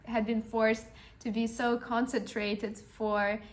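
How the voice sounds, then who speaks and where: Woman in her twenties, podcast host, earnest storytelling, flat even delivery, fairly high pitch. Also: slow pace. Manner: earnest and encouraging, with frequent restarts. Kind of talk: reflective personal storytelling monologue.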